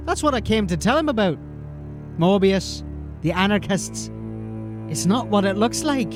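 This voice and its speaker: An actor playing a brash, slangy street informant who insists on being heard in a fantasy audio drama. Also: male voice, sits high, sing-song, normal speed, music in the background. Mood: agitated. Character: pushy, agitated street informant with urgent news.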